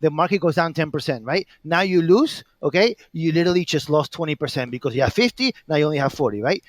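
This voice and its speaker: Man in his late thirties, slightly high voice, rambling, profane, dry close sound. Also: animated, fast talker, dry recording. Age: 30s. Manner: rambling, lots of fillers, blunt and profane. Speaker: guest caller. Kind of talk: casual debate on a stream call.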